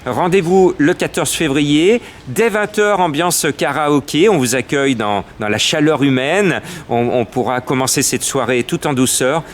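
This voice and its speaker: A man's voice: fairly high pitch, a little slow, a little hiss or hum. A man organizing a theatre event, speaking in an upbeat, inviting promotional interview.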